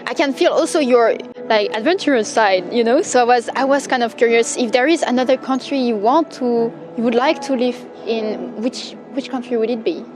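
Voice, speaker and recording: Young french woman interviewing, encouraging, bit higher voice, music behind. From France. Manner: eager, encouraging, quick reactions and laughs. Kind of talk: casual interview. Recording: music playing underneath.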